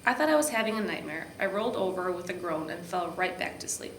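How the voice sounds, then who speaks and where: A woman reading a children's storybook aloud with expressive narration. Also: fairly low pitch, quick pace. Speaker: park district program supervisor reading to children. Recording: echoey room with background noise.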